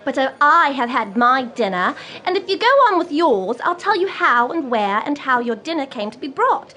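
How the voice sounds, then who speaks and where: An eager, affectionate young daughter in a radio play. The voice is bright and swoops expressively, with emphatic phrasing. There is faint ambient noise behind it. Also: female voice, high voice, normal speed, full character voice. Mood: earnest, hesitant. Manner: excited, teasing, emphatic. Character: loving, eager young working-class daughter. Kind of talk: radio drama acting.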